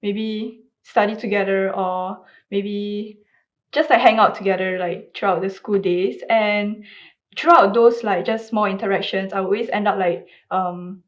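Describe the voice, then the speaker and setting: A woman's voice: medium pitch, fairly even intonation, a little slow. A young woman talks casually about personal experience as a panel guest. The recording is slightly muffled. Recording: slightly dull, muffled sound.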